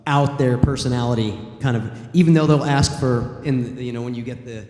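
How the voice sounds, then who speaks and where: A man's voice: mid-range voice, steady tone, moderate pace, a little mellow. Man talking with echoey hall reverb. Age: adult, former college theater student. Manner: loose and rambling, with many ums and restarts. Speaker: voice actor panelist. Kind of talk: convention panel answers. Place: large convention hall.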